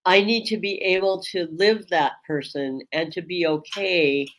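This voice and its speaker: A woman Reiki teacher hosting a webinar, with a strong voice that carries across a room. She talks in a slow, measured way and pauses often with ums. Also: fairly low pitch, steady tone. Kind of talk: reflective webinar talk. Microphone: webinar microphone.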